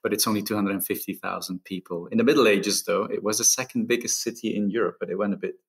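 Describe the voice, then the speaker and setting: A deep-voiced man speaks English with a Belgian Dutch accent, his first language being Dutch. He talks as an interview guest, relaxed and easygoing. His humor is self-deprecating. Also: fast, vocal fry, husky. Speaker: guest, marketing executive. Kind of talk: interview conversation.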